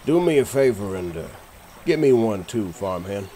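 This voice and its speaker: A man playing a gruff, teasing country fellow in a roleplay audio, grumbling in a folksy way. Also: fairly low pitch, very animated, moderate pace, mellow, slight background noise.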